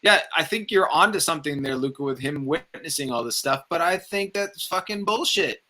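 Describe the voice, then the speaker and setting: A man with a high-pitched, bright and forceful voice gives casual film opinions. He is blunt and emphatic, swearing heavily as he makes his case. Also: lively intonation, a little fast. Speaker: film review show host. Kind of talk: casual ranting movie commentary.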